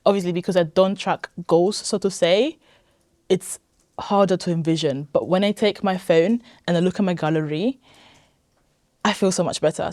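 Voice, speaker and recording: A woman's voice: lowish voice, a little mellow, no room sound, studio quality. A woman in her twenties from Italy, chatting casually and emphatically as a guest in an interview. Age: 20s. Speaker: podcast guest, weight loss programme member.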